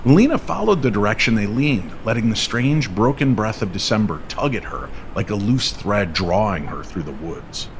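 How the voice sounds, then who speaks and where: A man narrating an eerie scripted story in an old-time radio style, measured and suspenseful. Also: lowish voice, lively intonation, a little hiss or hum, rough recording.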